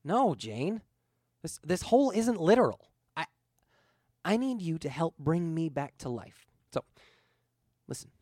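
Man voicing pleading, ominous ghost pilot, pitched up. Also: sing-song, measured pace, long pauses, a little mellow, no room sound, clean studio sound. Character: shapeshifting spirit posing as dead pilot, pleading, ominous.

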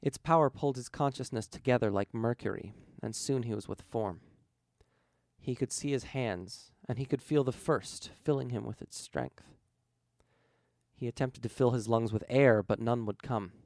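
A man's voice: medium pitch, normal speed, creaky, a little mellow, dry recording, clean studio sound. A man reading scripted fiction aloud as an audiobook narrator. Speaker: audiobook reader.

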